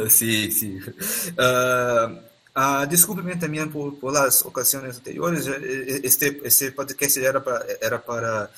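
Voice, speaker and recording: A man from Brazil with a Brazilian Portuguese accent, a guest on a podcast interview over a video call, speaking Spanish haltingly with many restarts and "ah" fillers. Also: medium pitch, bright, forceful tone. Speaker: guest expert.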